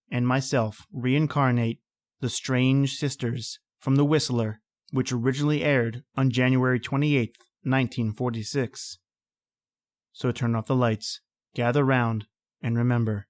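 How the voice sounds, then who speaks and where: A man hosting a podcast, reading a scripted introduction. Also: mid-range voice. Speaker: podcast host.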